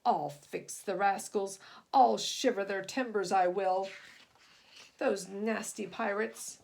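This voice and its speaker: A woman voicing a gruff, plucky male sea serpent in a children's storybook, growling and muttering with salty bluster. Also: medium pitch, takes it slowly, darkish tone. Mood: indignant snarling.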